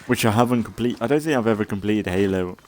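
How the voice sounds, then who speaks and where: A man giving casual, rambling commentary as a let's play host, thinking aloud in a halting way and sounding slightly congested. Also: lowish voice, darkish tone, slight background noise. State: slightly congested from an oncoming cold.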